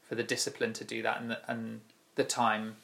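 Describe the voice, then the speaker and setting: Gravelly-voiced man leading a prayer chat. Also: lowish voice, fairly quick. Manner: relaxed, many ums, playful banter. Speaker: youth church leader. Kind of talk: casual devotional chat.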